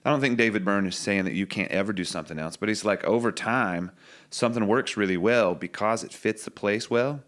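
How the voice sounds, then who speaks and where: Deep-voiced man musing as a podcast guest. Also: fairly quick, fairly bright tone. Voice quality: low singing voice. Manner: thoughtful, musing, loose restarts. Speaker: singer-songwriter guest. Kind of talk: reflective podcast conversation.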